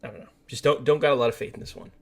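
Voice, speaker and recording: A man's voice: medium pitch, racing, gravelly. Blunt, slangy man chatting casually. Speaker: podcast co-host. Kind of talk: casual film discussion chat.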